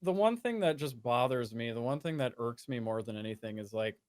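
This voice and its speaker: A man's voice: medium pitch, lively intonation, a little fast, a little mellow, dry recording, studio quality. A man in his late twenties from the United States, talking earnestly in a casual conversation as a streamer guest, with many restarts and fillers. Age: 20s.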